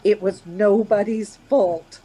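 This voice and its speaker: A woman's voice: fairly even intonation, takes it slowly, warm tone, slightly rough, rough recording. An actress playing an anxious, coaxing woman traveller in a radio play, urgent and reassuring by turns. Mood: firm, reassuring. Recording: background noise with vehicle rumble.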